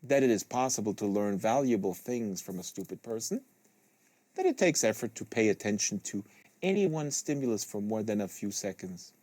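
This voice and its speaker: A man reading literary prose aloud like an audiobook, with deliberate phrasing, close to a studio microphone. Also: fairly low pitch, animated, a little mellow, no room sound. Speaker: host reading a novel aloud. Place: small studio with acoustic foam panels.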